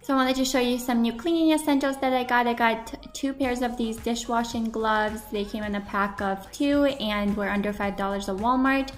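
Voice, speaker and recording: A woman's voice: a little high, steady tone, moderate pace, few pauses. A young woman vlogger talking casually about cleaning and the products she likes, upbeat and enthusiastic. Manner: enthusiastic, chatty, recommending. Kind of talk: casual voice-over product talk. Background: background pop music.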